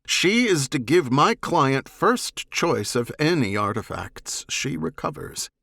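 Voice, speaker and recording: A man voicing a cool, evasive alien oath-bound hunter with a measured, formal manner in a science fiction audiobook. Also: a little high, animated, creaky, sharp tone, no echo, clean studio sound, voice pushed up, exaggerated voice. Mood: unruffled.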